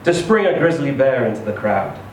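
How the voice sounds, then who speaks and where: A man reading a short story aloud in an expressive, theatrical, emphatic storytelling style. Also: mid-range voice, brisk. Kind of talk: expressive live literary reading. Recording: echoey room, distant mic, faint noise.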